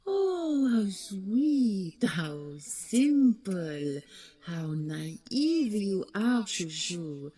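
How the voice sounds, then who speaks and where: Woman playing a slow, husky, vain ghostly dragon queen. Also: sing-song, mellow, full character voice. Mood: mocking. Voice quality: low, breathy, husky, creaky. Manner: slow, theatrical, mocking, slipping into whispers. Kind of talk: dramatic audio roleplay monologue.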